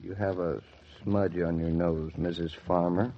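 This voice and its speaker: An actor playing a teasing, stubborn but affectionate young American husband with wry, casual banter, in old-time radio drama style. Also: male voice, sits low, steady tone, unhurried. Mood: teasing, tender. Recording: muffled vintage radio transcription.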